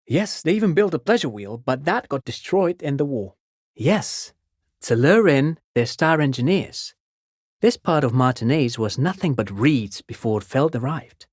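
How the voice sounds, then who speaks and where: A man's voice: mid-range voice, darkish tone, no echo, natural voice. Man playing an erudite, chatty amateur scholar, close and dry. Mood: enthusiastic explaining. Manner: erudite, digressive, hesitant, eager to explain. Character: chatty, pedantic male amateur scholar, absent-minded enthusiastic father. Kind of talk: video game character dialogue.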